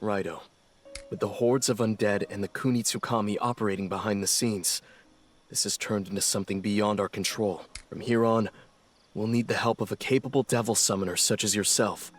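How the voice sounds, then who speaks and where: A man's voice: fairly low pitch, fairly even intonation, brisk, soft background music, natural voice. A man playing a composed, earnest naval intelligence officer in a video game, speaking formally and seriously. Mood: grave, explaining. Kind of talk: video game dialogue, measured exposition.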